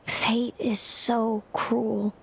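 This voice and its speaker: A woman's voice: fairly high pitch, level tone, drawn-out words, creaky, exaggerated voice. A woman voicing a solemn, fatalistic young seer in an anime-style video game, speaking in a grave, wistful, formal manner. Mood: sorrowful. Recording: loud music under the dialogue.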